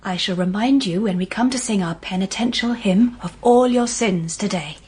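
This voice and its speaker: An actress playing an earnest, pious young English governess in a period audio drama, gently correcting and moralising. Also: female voice, mid-range voice, moderate pace, breathy edge. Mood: prim reproof.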